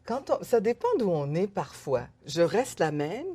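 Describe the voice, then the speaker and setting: A middle-aged woman from Canada, born in Haiti, speaking as a guest in a conversational interview, thoughtful and laughing softly between thoughts. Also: fairly low pitch, sing-song, a little slow, breathy edge. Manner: reflective, frequent fillers and soft laughs.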